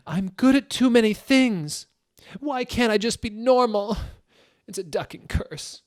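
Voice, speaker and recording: A man's voice: sits high, animated, unhurried, no echo, clean studio sound, voice pushed up. Man putting on a whiny, melodramatic teenage goth girl. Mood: anguished. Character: melodramatic teenage goth girl, vain, angry and self-pitying.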